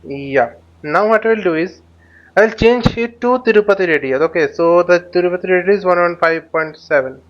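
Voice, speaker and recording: A man giving live tutorial commentary as he flies a simulator, speaking haltingly and often repeating or rephrasing himself. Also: high-pitched, lo-fi sound. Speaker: flight simulator instructor and channel host.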